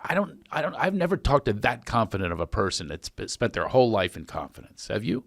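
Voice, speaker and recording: A middle-aged man hosting a podcast in casual chat, playful and quick to laugh as he riffs on jokes. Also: medium pitch, expressive, creaky, no echo, studio quality. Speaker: podcast host.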